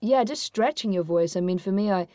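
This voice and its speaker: A woman with a husky, low-toned voice, answering interview questions as a voice actor guest, thoughtful and often restarting her sentences. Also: fairly quick, warm tone, no echo, clean studio sound.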